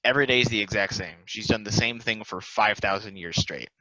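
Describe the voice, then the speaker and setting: A man's voice: on the low side, a little fast, pushed and bright, dry recording. A man playing a self-deprecating, philosophical and restless immortal football player, rambling confidingly in a casual stage play reading. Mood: confiding, reflective. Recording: dry, slightly dull recording.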